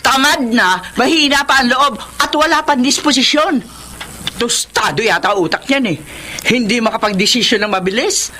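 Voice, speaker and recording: A woman's voice: fairly high pitch, normal speed, bright, forceful tone, slight background noise. A woman playing a scolding, nagging grandmother and mother-in-law in a Filipino radio drama. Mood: scornful. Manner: nagging, disparaging, commanding.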